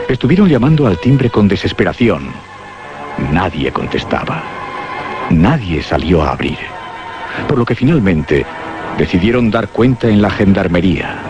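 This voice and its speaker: A man giving a dramatic, scripted radio narration of a horror story, with suspenseful pauses. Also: sits low. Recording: muffled radio drama recording. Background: prominent music.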